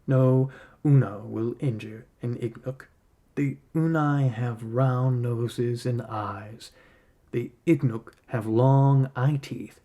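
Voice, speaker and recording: A man reading a literary story aloud as a narrator, in a measured, solemn storytelling manner. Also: mid-range voice, steady tone, mellow. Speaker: podcast host reading a short story aloud.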